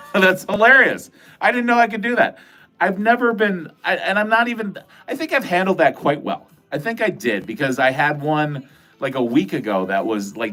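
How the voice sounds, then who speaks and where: A man's voice: on the high side, bright, forceful tone. Rambling middle-aged man, chatty livestreamer. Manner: rambling, filler-heavy, crude jokey talk. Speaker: livestream host. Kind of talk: casual livestream chat answering viewer comments. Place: at home.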